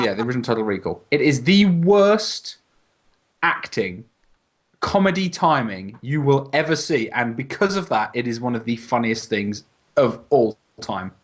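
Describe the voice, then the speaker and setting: A man chatting casually on a podcast, ranting emphatically and swearing freely. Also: medium pitch. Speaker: podcast co-host. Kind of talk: casual, profane podcast banter.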